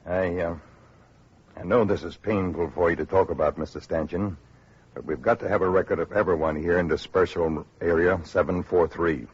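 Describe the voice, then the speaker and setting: A man playing a businesslike official who registers refugees, measured and matter-of-fact, in a 1940s radio drama. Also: deep voice, fairly even intonation, slightly rough. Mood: sober, sympathetic. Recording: muffled old radio broadcast recording.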